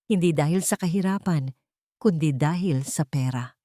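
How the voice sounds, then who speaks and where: A woman voicing a serious man who explains things gravely in an audio drama. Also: low voice, normal speed, warm tone, no room sound, studio quality, lightly acted. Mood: grave revelation. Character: serious man revealing a grave family secret.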